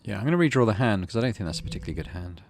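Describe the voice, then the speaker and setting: Low-voiced man narrating a video game. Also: very animated, very fast, a little mellow. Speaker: let's play host. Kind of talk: gameplay commentary and reading game text aloud. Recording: clear background noise.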